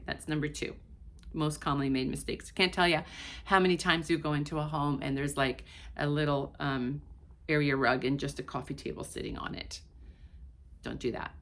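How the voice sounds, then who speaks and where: A woman's voice: lowish voice, a bit bright. A woman whose first language is Portuguese, giving casual advice to her viewers from her home in a chatty way. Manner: chatty, addressing viewers directly. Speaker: vlogger. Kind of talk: casual talk to camera.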